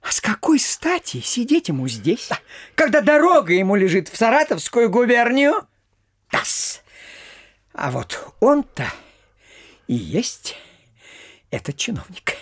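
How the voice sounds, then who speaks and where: An actor playing a flustered, self-important town gossip in a comic audio drama, stammering, obsequious and given to digressions. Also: male voice, extremely high pitch, wildly swooping pitch, a little slow, long pauses, a bit bright, heavily acted. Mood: awed, excited. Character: excitable, fussy town gossip, a man, breathlessly self-important.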